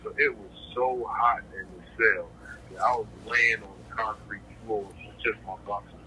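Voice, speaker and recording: Low-voiced man on a harsh prison phone. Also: steady tone, normal speed, sharp tone. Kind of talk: casual phone conversation. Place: correctional facility phone. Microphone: telephone handset. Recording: noisy, tinny prison phone line.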